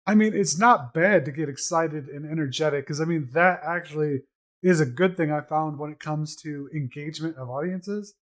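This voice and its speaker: A man's voice: fairly high pitch, normal speed. A man co-hosting a casual podcast chat, explaining things at length in an easy, conversational way. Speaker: podcast co-host.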